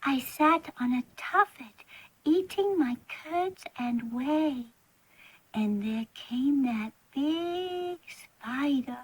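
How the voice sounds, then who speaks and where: A woman's voice: high voice, animated, drawn-out words, full character voice, poor audio. A woman playing a timid, imaginative little girl in a children's storybook play, earnest and pleading in a childlike way. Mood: frightened, tearful.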